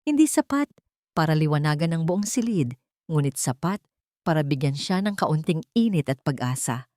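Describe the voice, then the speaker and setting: A woman's voice: low-pitched, expressive, moderate pace, a little mellow, dry recording, studio quality. A woman reading a dramatic romance story as a scripted narration.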